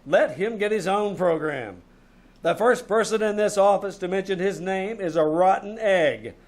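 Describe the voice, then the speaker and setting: A man's voice: high-pitched, moderate pace, close to an everyday voice. A man playing a wry, hard-boiled private detective with a dry, sardonic delivery in a live radio drama. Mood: weary, sarcastic. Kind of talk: live old-time radio drama acting.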